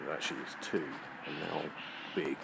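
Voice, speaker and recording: A man's voice: extremely low pitch, flat delivery, brisk, gravelly. A man chatting casually and ramblingly to camera, with informal muttered asides, as he walks outdoors. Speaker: vlogger. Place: outdoors in a park at night, walking. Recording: noisy outdoor handheld camera recording.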